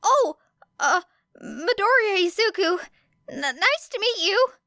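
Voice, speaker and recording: A woman's voice: very high voice, sing-song, slow pace, sharp tone, no room sound, studio quality, pitched above the performer's own voice, full character voice. A woman voicing a nervous, polite teenage boy who stammers awkwardly, in an audiobook story. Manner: stammering, flustered.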